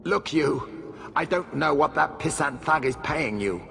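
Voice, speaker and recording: A sneering noble whose gravelly voice turns whiny when cornered. His lines ring with a long reverb. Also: fairly high pitch, lively intonation, a little slow, a bit bright, exaggerated voice. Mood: suspicious. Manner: haughty, whining, scornful. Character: sneering cowardly male noble in hiding.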